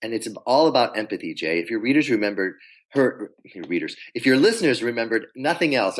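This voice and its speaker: A man coaching on pitching in a podcast interview, telling stories and acting out quoted voices. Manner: animated storyteller acting out quotes.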